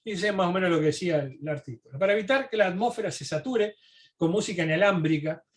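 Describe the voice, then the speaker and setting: A man with a high-pitched voice gives an informal history lecture. He reads from documents and stops to comment, explaining in a halting way with small asides and checking questions.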